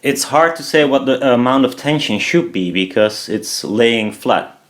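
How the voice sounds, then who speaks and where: A man's voice: mid-range voice, expressive, measured pace. A man giving casual, hesitant hands-on commentary, muttering to himself as he works at an indoor bench. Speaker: DIY maker. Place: indoors at a home workbench. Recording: clear background noise, clicks of handling. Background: noise and clicking.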